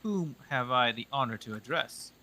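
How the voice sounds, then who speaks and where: A man's voice: medium pitch, animated, sharp tone, a little hiss or hum. A man voicing a confident, dry-witted male detective in a story reading. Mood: assured. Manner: brisk, crisp and dryly assured. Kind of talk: audiobook reading.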